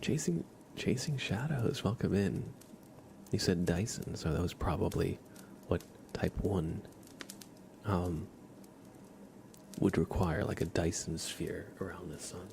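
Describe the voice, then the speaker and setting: Male ASMR streamer, unhurried and hushed, low register, slow pace, chatting gently over noticeable background ambience. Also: gravelly, a little hiss or hum. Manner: soft-spoken, often dropping into whispers. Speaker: livestream host. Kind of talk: ASMR livestream chat.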